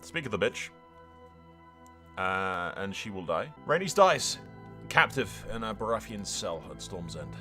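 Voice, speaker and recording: A man's voice: fairly low pitch, very animated, measured pace, bright, forceful tone, faint music behind. A man giving casual, sweary game commentary, slipping into mock-grandiose role-play narration. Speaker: gaming video host.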